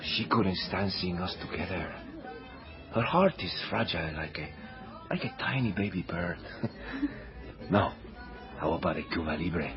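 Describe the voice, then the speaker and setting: An actor playing a suave, sentimental Cuban trumpeter and seducer with a Spanish accent and florid, wooing manner in a radio drama. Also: male voice, low-pitched, measured pace, long pauses. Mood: coaxing. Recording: dull lo-fi radio sound under music.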